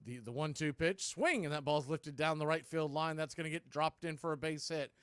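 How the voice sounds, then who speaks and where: A man calls a baseball game for a fan radio stream, his fairly high voice smooth and resonant on a close, dry mic. He moves between pitch calls and casual, opinionated asides. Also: brisk, a bit bright, dry recording. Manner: conversational, opinionated fan commentary. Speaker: fan radio play-by-play host. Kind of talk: play-by-play baseball commentary.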